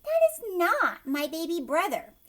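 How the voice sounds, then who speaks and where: A woman reading a children's storybook, voicing a skeptical, matter-of-fact young girl with deliberate, emphatic delivery. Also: extremely high pitch, wildly swooping pitch, sharp tone, voice pushed up, exaggerated voice. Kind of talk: children's storybook read-aloud.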